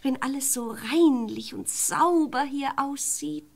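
An actress playing a naive, well-meaning and scatterbrained young peasant wife in a fairy-tale radio play, chatting artlessly. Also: female voice, sits high, takes it slowly, gravelly, full character voice. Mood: self-satisfied scheming. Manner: artless, chatty, talking to herself.

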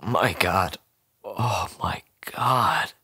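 A man voicing a shaken middle-aged veterinarian who speaks in a nervous, halting way. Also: sits low, expressive, fairly bright tone.

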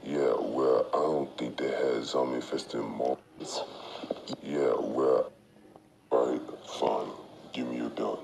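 An actor playing a wry, weary war reporter in a video game, talking casually and reluctantly. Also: male voice, rumbling low, steady tone, slow pace, gravelly, pauses often, background noise, heavily acted. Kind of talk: video game voice acting clips.

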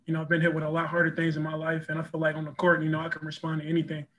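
A man's voice: on the high side, completely flat delivery, rapid-fire, fairly bright tone. A young man, a college basketball player, answering post-game press questions over a video call, earnest and full of "you know" and repeated words. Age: young adult. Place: press backdrop room, indoors.

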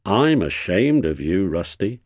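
Storybook senior engine played by a man: stern, reassuring, expressive intonation. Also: mid-range voice, takes it slowly, no echo, clean studio sound, voice pushed up, full character voice. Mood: severe. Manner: severe but reassuring. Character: stern, kindly senior male steam engine.

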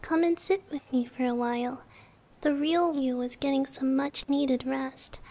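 Woman doing a little dream goddess girl, high soft voice, real slow and hushed, protective and comforting asmr roleplay. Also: fairly even intonation. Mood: soothing. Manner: hushed, reassuring, often near whisper. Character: gentle childlike female dream-guardian deity.

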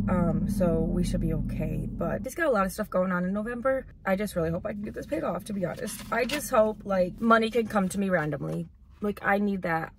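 A woman's voice: lowish voice, a little hiss or hum. A woman in her twenties, chatting casually and rambling for a vlog while sitting in a parked car. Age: 20s. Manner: chatty, rambling, lots of "like" and "literally". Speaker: vlogger. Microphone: camera on dashboard.

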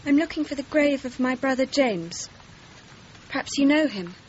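A woman's voice: high-pitched, animated, moderate pace. An actress playing a polite, earnest, anxious young well-bred woman in a radio drama dialogue. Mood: earnest, determined. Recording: dull, faintly noisy, outdoor ambience.

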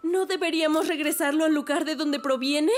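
A woman's voice: sits high, fairly even intonation, a little fast, faint music behind, close to an everyday voice. A woman playing a worried young mother in a cartoon dub, with a Latin American accent. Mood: uneasy.

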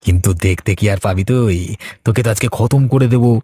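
A man's voice: medium pitch, fairly quick, warm tone, husky, no echo, clean studio sound, lightly acted. A man playing a scheming, cruel village villain in a horror cartoon, with a sly, threatening way of talking. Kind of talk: animated horror story acting.

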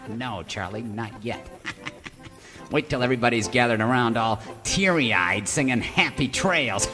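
A man's voice: mid-range voice, unhurried, bright, forceful tone. A man playing a hammy, boastful, dim cowboy villain who cackles and gloats in an old-time radio parody. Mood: scheming, gleeful. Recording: echoey stage hall, music underneath.

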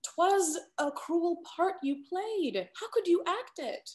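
A woman playing a sharp-tongued, secretive young lady in love, delivered dramatically in a Restoration comedy reading. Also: very high-pitched, lively intonation, slow pace, exaggerated voice. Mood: anguished, secretive. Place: indoor home room on a video call.

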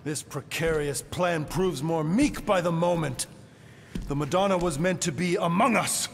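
Brooding cosmic warrior, haughty archaic diction, theatrical game voice, fairly high register, faint noise behind. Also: male voice, unhurried, gravelly. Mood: disdainful. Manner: ornate, alliterative, archaic speech. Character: proud, brooding golden cosmic warrior man. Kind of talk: theatrical video game acting.

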